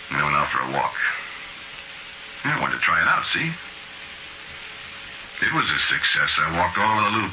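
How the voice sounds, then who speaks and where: Really deep voiced small-time crook telling his story, slangy, muffled old radio noir. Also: background noise. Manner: colloquial, confiding, ungrammatical. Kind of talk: 1940s radio noir first-person storytelling.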